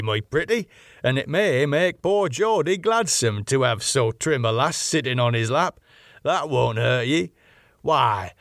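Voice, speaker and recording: A man's voice: mid-range voice, no echo, clean studio sound. A man voicing a garrulous, teasing old seafarer with a rustic Yorkshire accent in an audiobook. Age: older. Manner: folksy dialect speech. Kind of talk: audiobook character voice.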